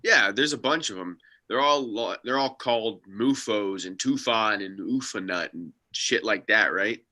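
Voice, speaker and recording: A man in a table read playing Art, an excitable UFO spotter who talks casually and emphatically, with swearing. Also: on the low side, a little slow, hardly any pauses. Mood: casual, weary. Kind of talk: table read of a comedy script.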